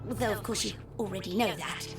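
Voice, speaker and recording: An actress playing a frightened, stranded young companion in an audio drama, speaking in hesitant, anxious phrases. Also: female voice, medium pitch, fairly quick, lightly acted. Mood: anxious, searching. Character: frightened, stranded young woman companion, nervous but resolute. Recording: echoey produced audio drama with music.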